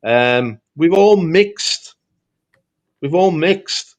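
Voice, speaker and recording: A man's voice: a little high, lively intonation, very slow. A man with a Liverpool accent speaking as a political commentator in an impassioned discussion, emphatic and rhetorical, repeating phrases for effect. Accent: Liverpool Scouse. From Liverpool, England.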